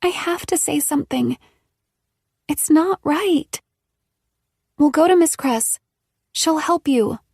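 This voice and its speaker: A woman's voice: high-pitched, measured pace, a little mellow, dry recording, studio quality, voice pushed up. A woman reading an audiobook, voicing an earnest teenage girl whose voice rises with urgency. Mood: sorrowful. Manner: urgent, rising voice.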